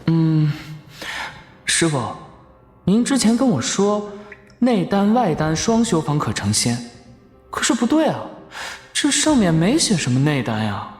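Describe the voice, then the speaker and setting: Sly young disciple acting all earnest, expressive audio drama voice, echoey, music under it. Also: male voice, a little high, a little slow, long pauses. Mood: feigned earnestness. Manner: earnest, deferential. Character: cunning young male disciple feigning earnest deference. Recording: echoey reverberant cave effect, music behind.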